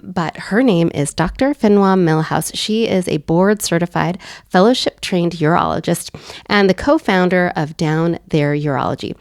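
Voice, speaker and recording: A woman hosts a casual, upbeat chat in a low, gravelly voice, roughened further by a cold. Her speech is dry and close, with no room sound around it. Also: no echo. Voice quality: raspy. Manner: upbeat, playful, chatty. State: hoarse from a cold. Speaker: host. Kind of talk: casual sex-education chat.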